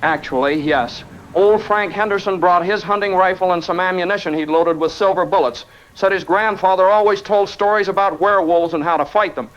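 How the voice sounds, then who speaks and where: A male actor plays a shaken survivor phoning a radio show. His voice sits quite high and he tells things earnestly and tensely, as a sensible man would, over a dull lo-fi connection. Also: brisk, a bit bright. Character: shaken but rational man trapped in besieged church. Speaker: phone-in caller. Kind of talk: dramatic eyewitness account, audio drama. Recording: dull, band-limited phone-in sound.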